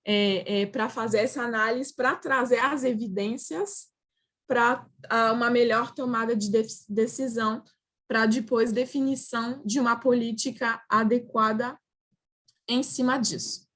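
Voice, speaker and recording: A woman's voice: fairly high pitch, steady tone, a little slow, fairly bright tone. A woman with a French accent, a native French speaker, presenting in a video-call roundtable with many hesitations and restarts. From France. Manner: hesitant, frequent filler "é" and restarts. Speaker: panelist.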